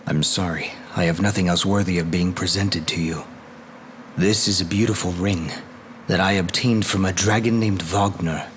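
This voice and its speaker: An actor playing a gentle, reserved young knight, speaking formally and sincerely in a video game drama. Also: male voice, low-pitched, steady tone, moderate pace, slight background noise, lightly acted. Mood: tender.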